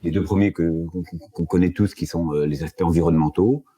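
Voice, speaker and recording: Very deep, dark-toned businessman, measured, explains industry points on a panel. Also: fairly even intonation. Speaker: company director, panel guest. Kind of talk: panel discussion. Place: office.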